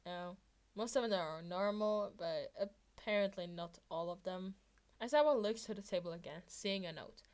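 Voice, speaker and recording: A woman's voice: medium pitch, moderate pace, darkish tone. A woman narrating fan fiction as an amateur podfic reader.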